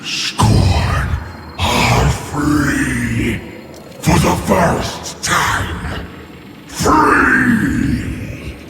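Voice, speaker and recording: An actor playing a fanatical undead alien warlord in a video game, preaching slowly and grandly to his followers. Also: a little high, sing-song, creaky, full character voice. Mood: grandiose fervor. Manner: slow, grandiose, halting declamation. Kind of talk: theatrical villain monologue in a video game. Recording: cavernous echo under loud music.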